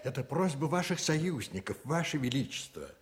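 A male actor playing a calculating, persuasive Jesuit priest with a measured, insinuating delivery in a historical radio drama. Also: fairly high pitch, sing-song, a little hiss or hum. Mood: urgent persuasion.

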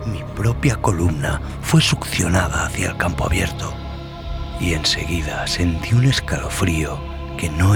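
A man narrating a horror tale in a solemn, deliberate, dramatic way with deliberate pauses. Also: low-pitched. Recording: voice over prominent background music. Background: music.

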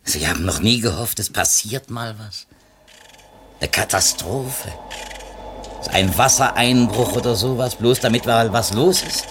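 A man's voice: on the low side, unhurried, noisy background, natural voice. An actor playing a reflective, educated publishing editor in a 1970s East German radio play, searching for words as he talks. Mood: curious. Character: thoughtful, educated male publishing editor, curious and uneasy.

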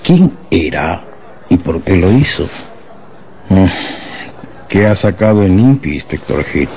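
Senior police chief: very deep, slow, ponderous and authoritative, gravelly, radio drama. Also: male voice, sing-song, warm tone, slight background noise, full character voice. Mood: grave, questioning. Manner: deliberate, rhetorical, authoritative.

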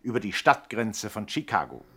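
An actor as a formal chronicler of a crime saga, narrating a 1960s radio play with deadpan, mock-serious precision. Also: male voice, fairly low pitch, normal speed, breathy edge, heavily acted. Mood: mock-solemn.

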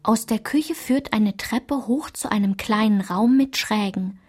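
A woman playing a daughter soberly recounting her childhood in a radio play, measured and restrained, with a breathy, husky voice. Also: mid-range voice, darkish tone. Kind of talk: literary radio play narration.

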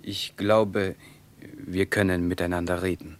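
An actor in a German radio play, playing a guarded, teasing young Russian teacher and reserve lieutenant. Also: male voice, low voice, level tone, unhurried.

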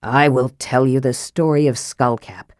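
A woman voicing a proud, angry old male dwarf in an audiobook, gruff and fierce, telling his story bluntly. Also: steady tone, measured pace, a little mellow, dry recording, clean studio sound. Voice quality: gruff, deep. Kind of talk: audiobook character voice.

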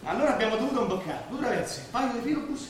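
A man acting on stage as an excitable working-class Roman who tells street stories vividly and mimics the people he quotes. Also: extremely high pitch, very fast. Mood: vivid storytelling. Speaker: stage actor. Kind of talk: theatrical stage drama dialogue. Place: theatre stage, recorded from afar. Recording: echoey stage, distant mic, faint noise.

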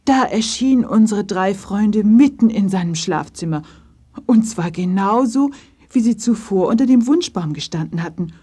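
A woman's voice: medium pitch, expressive, moderate pace, mellow, slightly rough. A woman narrating a scripted children's story.

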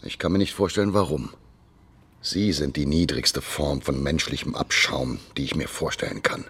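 An actor playing a contemptuous Soviet KGB boss in a noir radio drama, sneering, mock-polite and threatening, with a husky voice. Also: male voice, extremely low pitch, moderate pace, fairly bright tone, exaggerated voice.

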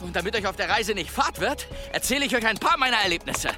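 A man's voice: high voice, expressive, fast, music in the background. A man playing a boastful, pious and grumbling one-armed demon hunter in an audio drama, with a theatrical, wry delivery. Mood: excited, calling out.

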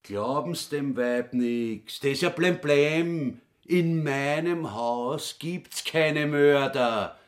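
An actor with a Viennese accent playing a burly, brutish retired policeman turned caretaker, crude and pompous in a radio drama. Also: medium pitch. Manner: pompous, coarse dialect bluster.

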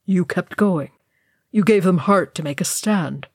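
A grave and noble male dwarf ghost, voiced by a woman reader. The speech is low and very unhurried, solemn and weary, with the measured weight of a sorrowful storybook lord. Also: a little mellow, no room sound, clean studio sound. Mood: grave, gentle.

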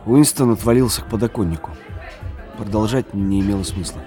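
An actor narrating an audio drama as a serious, measured storyteller with literary delivery. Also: male voice, lowish voice, mellow, soft background music, some chatter behind, natural voice. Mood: sombre. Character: serious male third-person narrator of a bleak novel.

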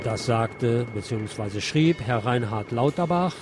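A man's voice: medium pitch, expressive, takes it slowly, loud music behind the voice. A man hosting a radio programme, reading press commentary and news aloud. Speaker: radio show host.

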